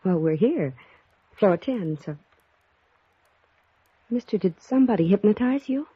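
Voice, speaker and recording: An actress playing a sophisticated young city heiress, brisk and puzzled, in 1950s radio drama style. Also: female voice, mid-range voice, expressive, no room sound. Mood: puzzled, impatient. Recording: muffled, rough vintage radio recording.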